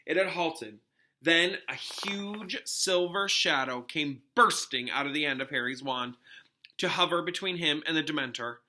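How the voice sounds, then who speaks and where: A man narrates a storybook with expression, as a teacher reading to a class would. His voice is very bright and forceful, pitched slightly high. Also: a little slow, a little hiss or hum. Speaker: teacher reading a novel aloud.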